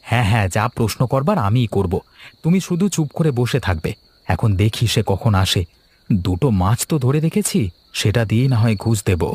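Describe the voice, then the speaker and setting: A man's voice: mid-range voice, expressive, moderate pace, a little mellow, breathy edge. A man voicing an earnest, practical village fisherman in a cartoon ghost story. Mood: resolute. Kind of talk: animated ghost story dialogue.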